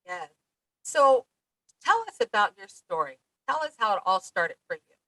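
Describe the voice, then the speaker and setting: A woman's voice: mid-range voice, sing-song, moderate pace, sharp tone, no echo, poor audio. A woman hosting a podcast, asking brief, prompting questions of her guest. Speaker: podcast host. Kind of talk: interview questions.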